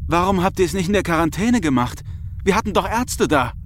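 A man's voice: high-pitched, lively intonation, a little fast, slight background noise. An actor playing a pressing, exasperated male crewmate on a spaceship in an audio drama. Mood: pressing, incredulous. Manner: pressing, questioning. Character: probing, exasperated male astronaut crewmate on a Mars ship. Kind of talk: German audio drama acting.

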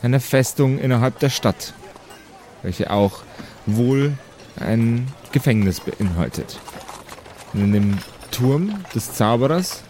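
Erzähler mit mittlerer Stimmlage. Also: male voice, medium pitch, lively intonation, takes it slowly, darkish tone, slight background noise. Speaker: narrator and game master. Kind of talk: dramatic narration of improvised audio play.

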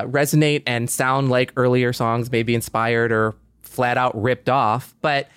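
A man's voice: medium pitch, no room sound, clean studio sound. A man on a podcast panel, talking casually and thoughtfully, explaining his points through strings of examples.

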